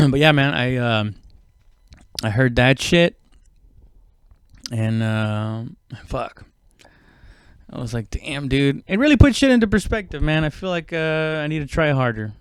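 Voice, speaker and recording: A man talking in a casual, rambling podcast monologue, halting and conversational, with frequent swearing. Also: medium pitch, expressive, clear, resonant tone, dry recording, studio quality. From immigrant, moved abroad at seven. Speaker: stand-up comedian podcast host.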